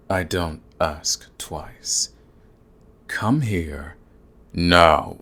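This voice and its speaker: Casting: male actor as ancient vampire lord, very low gravelly voice, silky, dominant and sadistic, for ASMR roleplay with faint background noise. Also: full character voice. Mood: commanding, seductive. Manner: menacing, silky, taunting, formal and deliberate. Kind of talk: ASMR roleplay audio drama.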